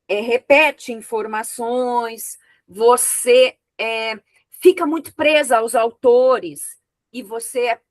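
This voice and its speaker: A woman whose first language is an unwritten oral language, serving as an examiner and giving frank, thoughtful spoken feedback on a student's thesis over a video call, often pausing on small fillers. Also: on the high side, no echo. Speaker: examiner on a master's thesis committee.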